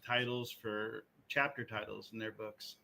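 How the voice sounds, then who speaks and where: A man's voice: fairly low pitch, level tone, measured pace, creaky, pushed and bright, a little hiss or hum. A man chatting casually with friends as a podcast co-host about films and games.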